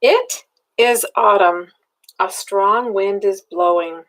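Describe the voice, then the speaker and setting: A woman's voice: mid-range voice, slow, rough recording. An older woman reading a children's picture book aloud as a grandmother, expressively, with questions in tones meant for a small child. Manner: expressive, asking the young listener simple questions in child-directed tones. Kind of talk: children's storybook read-aloud.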